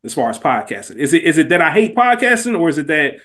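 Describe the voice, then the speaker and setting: A man with a slightly high-pitched voice in a casual podcast conversation. He speaks earnestly and informally, with plenty of slang, pressing gently with personal questions in a heartfelt way. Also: sing-song, quick pace. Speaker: podcast co-host.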